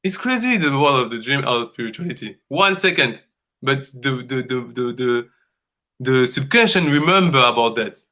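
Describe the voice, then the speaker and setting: A man from Congo, speaking as a dance coach in earnest, halting English and repeating phrases for emphasis. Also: medium pitch, animated, pushed and bright. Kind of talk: conversational coaching talk.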